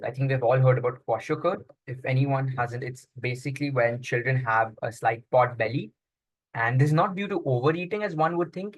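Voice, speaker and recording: A young man raised in Congo talks through his answers with a steady, mid-range voice. He explains things patiently, often pausing on fillers and restarting his sentences. The sound is close and dry, with no room echo. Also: medium pitch. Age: young adult. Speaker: guest. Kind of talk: casual interview answers.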